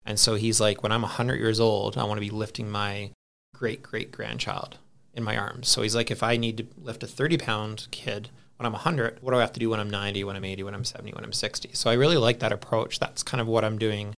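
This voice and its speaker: Creaky-voiced man in his thirties, chatting casually. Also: fairly low pitch, little pitch movement, fast talker, fairly bright tone. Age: 30s. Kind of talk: casual chat.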